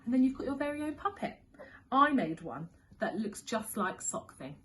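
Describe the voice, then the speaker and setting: A woman's voice: on the high side, sing-song, moderate pace, fairly bright tone, a little hiss or hum. A woman reading a children's story expressively as a school storyteller, leaning on key words for young listeners.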